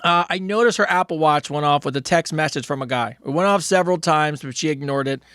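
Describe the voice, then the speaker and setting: Middle-aged dude cohosting a raunchy advice podcast, slightly higher voice, rambly, swears a lot, dry close mic. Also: male voice, fast, fairly bright tone, clean tone, dry recording. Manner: rambling, loose storytelling with lots of restarts and swearing. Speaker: podcast co-host reading listener questions. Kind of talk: casual comedic chat.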